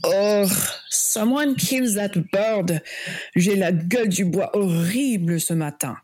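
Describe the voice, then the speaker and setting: A sly, worldly French woman in an audio drama. She speaks slowly with a husky French accent, phrasing things formally and with care. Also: mid-range voice, darkish tone. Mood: hungover, dry.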